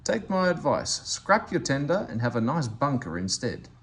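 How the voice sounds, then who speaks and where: A man's voice: medium pitch, very animated, normal speed, a bit bright, pitched above the performer's own voice. A man voicing a cheeky, teasing little tank engine in a children's storybook. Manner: teasing, mock-helpful.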